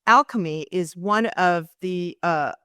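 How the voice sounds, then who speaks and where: A woman's voice: fairly low pitch, slow pace, pushed and bright, smooth, no room sound, studio quality. A woman hosting an interview podcast, talking earnestly with many fillers and restarts. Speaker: podcast host.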